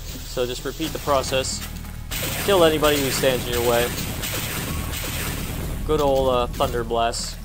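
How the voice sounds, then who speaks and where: A man's voice: medium pitch, steady tone, unhurried, pauses often. A man giving casual gameplay commentary as he walks viewers through a video game. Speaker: gamer giving a walkthrough. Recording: game music behind the voice.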